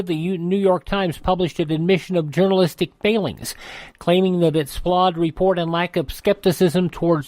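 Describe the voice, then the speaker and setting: A man's voice: on the high side, darkish tone, no room sound. An American man hosting radio, reading a scripted rundown of events and dates in history. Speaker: radio host.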